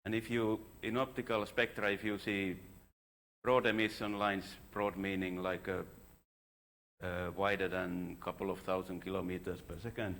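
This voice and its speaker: Man, fairly deep voice, informal academic presentation, hesitant phrasing, frequent self-corrections. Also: flat delivery, moderate pace, creaky, fairly bright tone. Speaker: astronomer giving a conference talk. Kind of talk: informal scientific conference presentation.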